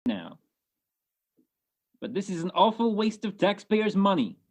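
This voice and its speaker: Woman acting a gruff, animated police sergeant. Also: mid-range voice, unhurried, slight background noise. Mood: grudging. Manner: sardonic, slangy, blunt tough-cop talk. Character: gruff, wisecracking male police sergeant in homicide. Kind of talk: 1940s detective radio play acting. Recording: video call recording.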